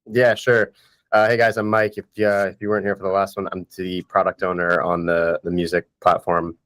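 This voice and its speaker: A man's voice: sits low, little pitch movement, brisk, fairly bright tone, dry recording. A man explaining his work as product owner in an upbeat, casual way. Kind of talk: casual chat.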